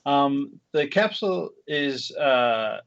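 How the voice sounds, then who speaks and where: A man being interviewed as a guest screenwriter and director, answering in a relaxed way with frequent ums and restarts. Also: mid-range voice, slow, creaky, no room sound, lo-fi sound. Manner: relaxed, many fillers and restarts.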